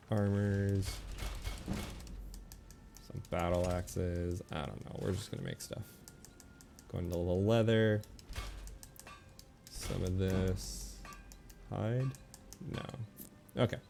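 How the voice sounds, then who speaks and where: A man giving casual video game commentary, thinking aloud in a relaxed, muttering way as he makes decisions. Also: low-pitched, slow pace, gravelly, long pauses, slight background noise.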